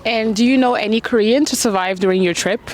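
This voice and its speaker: French-accented female street interviewer, lively and playful, faint traffic behind. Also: a little high, fast. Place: city street outdoors.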